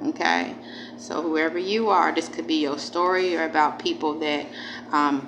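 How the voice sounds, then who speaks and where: A woman giving a casual spiritual tarot reading, informal and emphatic, repeating phrases and speaking straight to the viewer. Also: sits low. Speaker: tarot reader, channel host. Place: indoors at a table. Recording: clearly noisy home recording.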